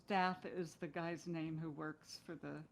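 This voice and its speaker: A middle-aged woman with a voice a little on the low side. She speaks as a guest, in a casual and reflective conversational style. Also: steady tone, measured pace, creaky. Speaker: guest, stage and screen actress.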